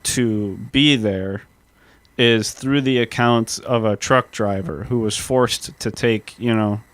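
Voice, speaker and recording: A man's voice: on the low side, fairly bright tone, no room sound. Earnest man on a dry podcast mic. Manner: earnest, reads historical quotes slowly. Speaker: podcast co-host. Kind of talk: casual history podcast chat.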